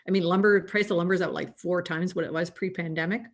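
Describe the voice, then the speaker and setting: Canadian woman, lowish voice, chatty guest expert, video call. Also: fairly even intonation, fast. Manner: chatty, laughs easily, restarts often. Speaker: risk management guest. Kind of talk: conversational expert explanation.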